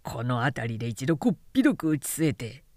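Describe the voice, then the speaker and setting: A man's voice: mid-range voice, sing-song, brisk, darkish tone, no echo, clean studio sound, pitched below the performer's own voice, lightly acted. A woman voicing arrogant young male samurai who talk with haughty contempt in old-fashioned warrior speech, in a period story. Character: arrogant, sneering young high-born samurai drinking with comrades. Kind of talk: literary period-story reading.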